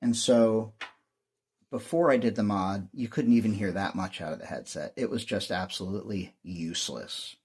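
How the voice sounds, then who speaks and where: A man with a husky voice giving an unscripted, matter-of-fact hands-on technical tutorial as the host. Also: lowish voice, normal speed, a little mellow, a little hiss or hum. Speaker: host demonstrating radio repair.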